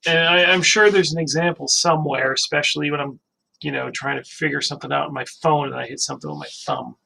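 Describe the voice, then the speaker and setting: A man with a heavily gravelly voice hosts a casual livestream. He talks bluntly, jokes, and swears freely. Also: fairly high pitch, fairly even intonation, fast, bright, forceful tone, rough recording. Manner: blunt, profane, joking. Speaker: livestream host.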